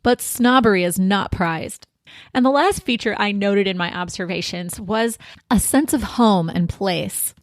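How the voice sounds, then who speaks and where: A woman with an American accent speaks as a podcast host. Her medium-pitched voice moves through prepared thoughts deliberately and reflectively, leaning on key phrases. The sound is dry, with very little room around it. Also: dry recording. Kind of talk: prepared literary podcast talk.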